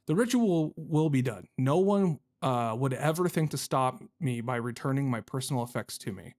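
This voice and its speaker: A man's voice: mid-range voice, lively intonation, normal speed, a little mellow. A man giving casual let's-play commentary, thinking aloud in goofy mock-swearing slang and sometimes reading game notes out. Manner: thinks aloud while playing. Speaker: gaming YouTuber.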